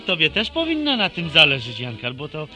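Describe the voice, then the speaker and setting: A man's voice: a little high, huge pitch swings, brisk, bright, forceful tone, soft background music, exaggerated voice. An actor playing a scheming, bossy young village man who cajoles and teases in colloquial rural speech in a radio drama. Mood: cajoling. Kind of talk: Polish radio drama acting.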